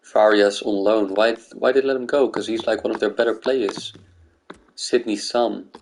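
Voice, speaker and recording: A man's voice: fairly low pitch, steady tone, moderate pace, slight background noise. A man from Greece making a gaming video, thinking aloud in casual commentary.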